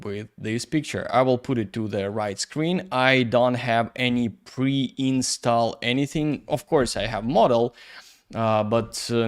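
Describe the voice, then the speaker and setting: A man teaching 3D software in English, which is not his first language. He thinks aloud at a slow, unhurried pace, stops often on fillers, and has a clean, resonant voice at a middle pitch. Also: clear, resonant tone. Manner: halting non-native English, many fillers; thinks aloud while working. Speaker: 3D visualization instructor. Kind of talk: screen-recorded software tutorial.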